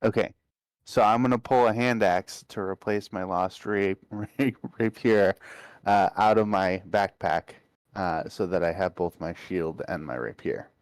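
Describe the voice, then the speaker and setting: A man talking casually as a tabletop game player, halting a bit as he thinks aloud. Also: sits low, clear, resonant tone, dry recording.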